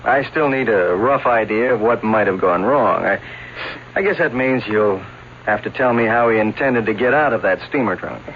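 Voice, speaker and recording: An actor playing a patient, persistent police lieutenant in a 1970s radio drama, politely probing in a measured, hesitant way. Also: male voice, fairly low pitch, lively intonation. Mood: patient, insistent. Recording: muffled, faintly noisy old radio broadcast.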